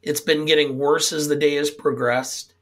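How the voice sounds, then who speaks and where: Older Midwestern man, co-host, hoarse from a cold, rambling chat. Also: on the high side, little pitch movement, normal speed. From upper Midwest of the United States. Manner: rambling, with hesitant fillers. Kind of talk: casual podcast chat.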